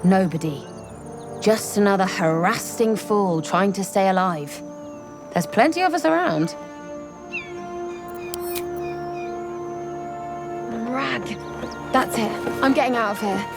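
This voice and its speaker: An actress playing a wary, blunt horned tiefling scout in a video game, speaking with streetwise urgency. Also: female voice, medium pitch, animated, measured pace, over music. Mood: curt, dismissive. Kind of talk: dramatic video game cutscene acting.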